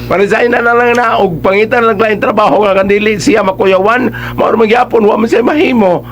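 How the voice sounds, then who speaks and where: A man's voice: high voice, fairly even intonation, brisk, a bit bright, a little hiss or hum. A man with a Cebuano accent giving conversational, emphatic advice as a radio host.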